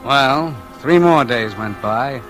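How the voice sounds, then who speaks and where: Casting: weary man on the run, 1940s radio noir lead and narrator, wry, terse, mid-pitched, music underneath. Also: expressive, slow pace, fairly bright tone. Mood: brooding narration. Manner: wry, terse, hard-boiled. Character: weary, doomed man on the run, narrating his own story. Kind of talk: 1940s radio noir acting, first-person narration and dialogue.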